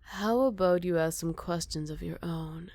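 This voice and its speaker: A woman playing a smug, flirty captured villain in an ASMR roleplay, teasing and coy with breathy murmurs. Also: lowish voice, normal speed, a little mellow, no room sound. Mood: playful, coaxing.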